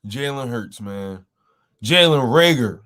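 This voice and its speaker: A man with a slightly scratchy voice hosts a live sports talk stream. He speaks slowly and drawn out, greeting his chat by name and repeating his points with loud exclamations. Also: medium pitch, expressive. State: throat a bit rough. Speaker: host of a sports call-in show.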